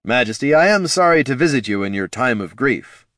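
A man playing a dashing, smug male knight champion with a booming, trumpet-like voice and a grandly heroic, smooth-talking manner. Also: lively intonation, fairly quick, a bit bright, no room sound, studio quality, lightly acted.

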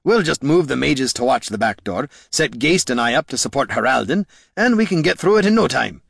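A man voicing a gruff, bossy, impatient warrior leader in a fantasy audiobook, with a blunt folksy bark. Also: medium pitch, big pitch swings, a little fast, fairly bright tone, dry recording, clean studio sound, voice pushed up, heavily acted. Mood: confident.

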